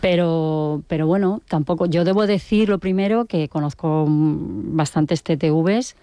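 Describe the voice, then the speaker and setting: A middle-aged woman from Madrid chatting as a guest, talkative and quick to laugh. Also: sits low, clear, resonant tone, no room sound. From Madrid, Spain. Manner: chatty, laughs often, speeds up when excited. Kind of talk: casual radio chat.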